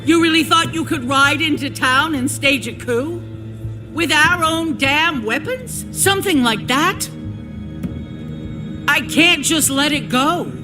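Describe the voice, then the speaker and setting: An actress playing a cold, ruthless survivor town leader in a video game, theatrical, menacing and mock-sincere. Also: female voice, sits high, slow pace, over music. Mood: stern, vindictive. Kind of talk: dramatic video game acting.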